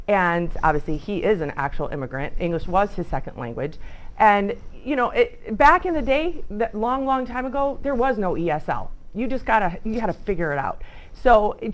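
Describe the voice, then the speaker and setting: A middle-aged woman, a realtor from northern Illinois, speaks to a high school club. Her voice sits at an ordinary middle pitch and swings up and down often. Her talk is chatty, and she breaks off for quick asides. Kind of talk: informal talk to students. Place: school club meeting room.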